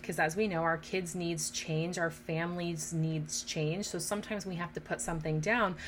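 A woman's voice: on the low side, fairly bright tone. A woman in her thirties hosting a casual podcast, talking straight to listening mothers in an encouraging way. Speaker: podcast host.

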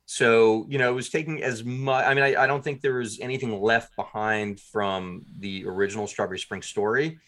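A man being interviewed as a guest, telling stories casually in a self-deprecating, animated way with quoted asides. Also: on the low side, moderate pace, hardly any pauses.

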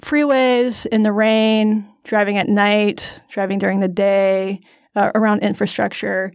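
A woman in her thirties talks loosely on her podcast. She is candid and self-deprecating and wanders into asides. She sounds close and dry on the mic, at a middle pitch. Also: level tone, measured pace, clear, resonant tone, no echo. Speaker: podcast co-host. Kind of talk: casual chat.

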